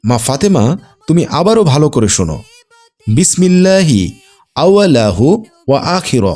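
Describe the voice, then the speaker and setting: A man plays a gentle father in a children's cartoon. He instructs patiently, with very animated rises and falls in his intonation. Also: a little high, normal speed. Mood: patient, instructive. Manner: patient, didactic. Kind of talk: scripted children's cartoon dubbing.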